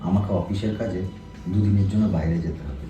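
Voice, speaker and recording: A man playing a lecherous, smooth-talking company boss in a short-film melodrama, cajoling and boastful. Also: low voice, fast, mellow, natural voice. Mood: casual, evasive. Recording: roomy echo, faint background music.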